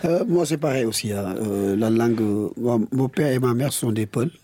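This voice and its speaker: A middle-aged man from Senegal, a Wolof speaker, answering a radio interviewer in a halting, relaxed way and often repeating words. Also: medium pitch, a little hiss or hum. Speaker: guest.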